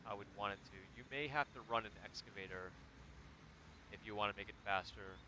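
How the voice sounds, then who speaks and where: A man's voice: fairly low pitch, fairly quick. A man with a Michigan accent, chatting casually and laughing while playing a game with friends. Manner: relaxed, chatty, laughs easily. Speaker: host. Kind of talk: casual multiplayer gaming chat. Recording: noisy game capture.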